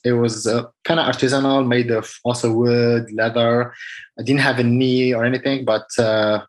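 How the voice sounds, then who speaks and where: A man's voice: mid-range voice, level tone, moderate pace. Man telling his story. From Morocco. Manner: earnest, many fillers, simple non-native phrasing. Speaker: guest athlete. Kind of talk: casual interview answers.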